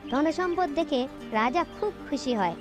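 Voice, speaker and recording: A woman narrating a cartoon folktale in a steady scripted storytelling manner. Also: sits high, a little slow, loud music behind the voice.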